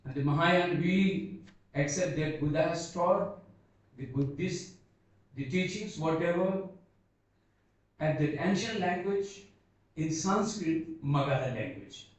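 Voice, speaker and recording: A man whose first language is Bhoti gives an unscripted seminar talk, halting and searching for words as he speaks slowly and earnestly. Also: on the high side, lively intonation. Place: seminar hall. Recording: echoey hall, distant dull mic.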